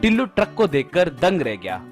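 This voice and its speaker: A man's voice: a little high, huge pitch swings, moderate pace. A man telling a scripted cartoon story as its narrator. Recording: music behind the voice.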